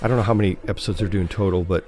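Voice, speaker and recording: A man's voice: sits low, fairly even intonation, racing, a little mellow. An American man from Chicago co-hosting a podcast in casual conversation, informal and a little hesitant as he circles back mid-thought. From Chicago, United States. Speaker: podcast co-host. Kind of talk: casual chat about TV news.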